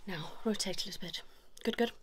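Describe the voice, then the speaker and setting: A woman doing an ASMR role-play as a chiropractor, coaxing a patient in soft talk and whispers, with short repeated reassurances. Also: medium pitch, brisk. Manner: soothing, coaxing, talks directly to a patient. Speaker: ASMR performer playing a chiropractor. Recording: lo-fi, noisy, with clicking sounds.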